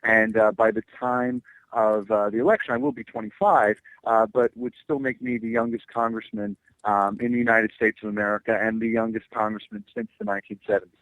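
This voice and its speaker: A man's voice: on the low side, sing-song, brisk, fairly bright tone, dry recording, rough recording. A man in his twenties from New Jersey, answering radio interview questions as a political candidate, hesitant and heavy with fillers. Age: 20s.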